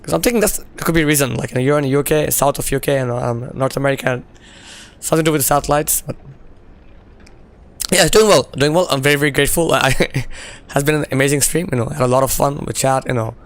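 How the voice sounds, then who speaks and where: Hoarse, gravelly, chatty male streamer. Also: mid-range voice, quick pace. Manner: chatty, laughing, full of "you know" fillers. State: losing his voice, hoarse. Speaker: game streamer. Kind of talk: casual live-stream chat while gaming.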